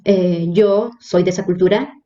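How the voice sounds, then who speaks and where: Nahuatl-native woman from Mexico, mellow and unhurried, hesitant with fillers; echoey, dull audio. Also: fairly low pitch. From Mexico, Hidalgo state. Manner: hesitant, frequent fillers and restarts. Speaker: religious sister, guest. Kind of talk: interview, personal introduction.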